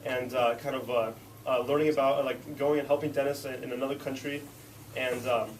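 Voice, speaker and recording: A congested young man talks quickly through club announcements, stumbling over fillers. He is picked up from a distance in a classroom with clear background noise. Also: mid-range voice, little pitch movement. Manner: rambling with many uh fillers. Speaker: club officer in charge of rotations. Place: classroom meeting. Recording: distant mic in a noisy room.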